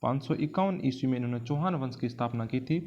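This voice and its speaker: A man's voice: mid-range voice, fast, a little mellow, highs cut off. A man with an Indian accent teaching an exam-prep lesson in question-and-answer form, didactically repeating key phrases for emphasis. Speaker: history teacher.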